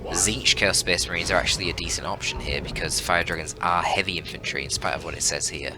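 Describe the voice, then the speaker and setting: A man giving live, analytical and conversational commentary on a strategy game, as a shoutcaster does. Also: sits low, quick pace. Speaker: esports shoutcaster. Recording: voice over loud game audio. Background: loud game music and battle sounds.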